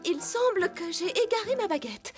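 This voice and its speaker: Gentle fussing fairy queen for a cartoon, high and lilting. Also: lively intonation, moderate pace, soft background music. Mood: fretful. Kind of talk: dubbed cartoon acting.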